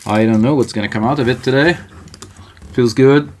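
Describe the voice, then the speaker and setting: A man's voice: medium pitch, moderate pace, a little hiss or hum. French Canadian man rambling through a tutorial. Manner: loose, rambling, thinks aloud with fillers. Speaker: music production tutor. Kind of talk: live music production tutorial. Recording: electronic music playing under the voice. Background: faint electronic music.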